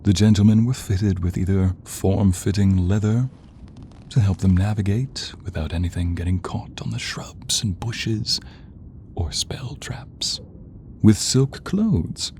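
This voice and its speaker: A man narrating a scripted ASMR story in a dramatic, hushed manner that often drops into a whisper. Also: on the low side, slight background noise. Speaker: narrator of an audio roleplay.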